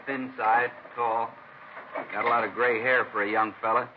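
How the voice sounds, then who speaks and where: An actor playing a plainspoken, wary old-hand machinist in a 1950s radio drama, with a folksy, clipped delivery. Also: male voice, medium pitch, level tone, moderate pace, close to an everyday voice. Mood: wary, musing. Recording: muffled, noisy old radio broadcast.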